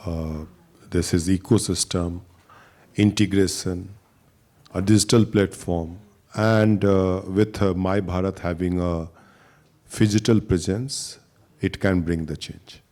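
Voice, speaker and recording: A man answering on a panel as a government official, in halting, plain phrases. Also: sits low, steady tone, mellow.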